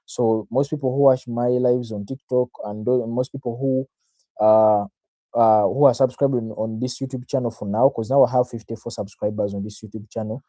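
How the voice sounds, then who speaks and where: Ugandan man, Luganda native, very mellow dark tone, mid pitch, dry sound; confident, informal trading-stream explainer who repeats himself. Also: steady tone, normal speed, dry recording, poor audio. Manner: self-assured, addresses viewers directly, repeats phrases, checks in with "right?". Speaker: trader, livestream host. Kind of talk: informal live market analysis, explaining to viewers.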